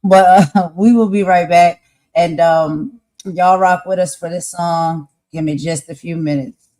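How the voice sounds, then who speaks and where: A man co-hosting a live stream, raspy-voiced, speaking earnestly about values and calling his audience y'all. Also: a little high, steady tone, measured pace, a little mellow. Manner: preachy, earnest, addresses viewers as y'all. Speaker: co-host. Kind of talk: casual live-stream talk.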